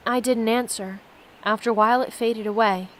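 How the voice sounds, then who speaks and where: A solemn diarist from a video game, read by a woman, recounts strange visions in a measured, fairly high voice. Light noise sits behind the storytelling. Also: fairly even intonation, close to an everyday voice. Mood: solemn, awed. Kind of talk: reading story text aloud.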